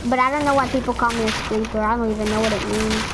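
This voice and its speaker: High-voiced rambling gamer woman. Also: steady tone, fast talker. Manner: rambling, filler-heavy, chatty. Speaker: streamer playing in a squad. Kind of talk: casual gaming chat. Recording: noisy game audio behind.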